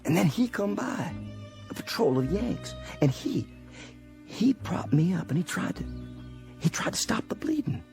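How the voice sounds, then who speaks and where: An actor playing a war-weary, decent Confederate sergeant in a radio drama, with a Southern American accent and a folksy, hesitant, earnest manner. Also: male voice, high-pitched, sing-song, measured pace, gravelly, darkish tone, full character voice. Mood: urgent, pleading. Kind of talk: dramatic radio play acting. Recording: studio radio drama over faint music.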